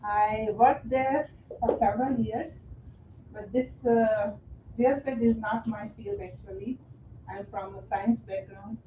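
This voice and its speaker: A woman's voice: mid-range voice, fairly even intonation, a little slow, warm tone, a little hiss or hum. A woman in her sixties from India with an Indian accent, modestly introducing herself as a new agent at a meeting and laughing often. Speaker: new real estate agent. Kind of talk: casual self-introduction at a meeting. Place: meeting room. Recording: echoey room, lo-fi.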